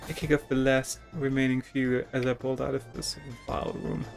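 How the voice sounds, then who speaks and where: A man's voice: medium pitch, little pitch movement, moderate pace, gravelly, over music. A man reading a text adventure game aloud in a dramatic storytelling way, now and then tripping over words. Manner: theatrical, stumbles over words while reading.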